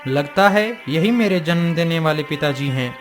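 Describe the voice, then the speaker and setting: A man's voice: on the high side, moderate pace, faint music behind, natural voice. A man playing a humble, dutiful young prince in a cartoon moral story, speaking respectfully and earnestly in formal words. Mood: questioning.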